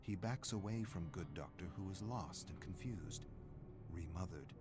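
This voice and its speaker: A man playing a brooding, menacing narrator who tells a dark horror game story in a deliberate, dramatic way. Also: low-pitched. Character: brooding, menacing male narrator of a sadistic doctor's thoughts. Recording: music playing behind the voice.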